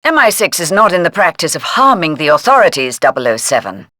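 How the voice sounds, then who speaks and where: An actress playing a stern intelligence handler giving crisp, formal mission briefings in a video game. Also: female voice, low voice, big pitch swings, fairly quick, bright, forceful tone, full character voice. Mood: stern, businesslike. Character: stern, businesslike intelligence handler directing a secret agent.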